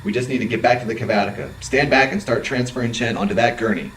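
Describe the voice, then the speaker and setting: A man's voice: mid-range voice, quick pace, fairly bright tone, slight background noise, lightly acted, rough recording. A man playing a focused, practical spaceship crewman in an audio drama, terse and matter-of-fact. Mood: urgent. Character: focused, level-headed male spaceship crewman under pressure.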